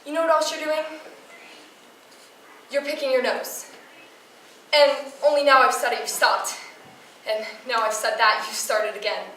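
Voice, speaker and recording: A woman's voice: high-pitched, fairly even intonation, measured pace, pauses often, fairly bright tone. A woman playing an insistent, accusatory nag who overthinks everything, in a stage monologue. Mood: needling, tense. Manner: insistent, needling. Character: needling, accusatory woman nagging a companion, obsessively overthinking. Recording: roomy, distant mic, clear noise.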